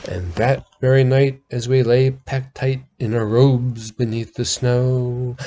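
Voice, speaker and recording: A man reads a narrative ballad aloud in a mellow, relaxed timbre. His pace is slow and deliberate, and his theatrical delivery swells and falls in intensity. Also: medium pitch, lo-fi sound. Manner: theatrical storytelling with big swells and drops in intensity. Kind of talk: dramatic poetry reading.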